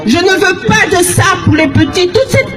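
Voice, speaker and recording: A woman from the Democratic Republic of the Congo giving an impassioned public speech as an activist, shouting her words slowly and emphatically. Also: very high-pitched, lively intonation. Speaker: activist speaker. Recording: crowd and music audible behind. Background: crowd noise and music.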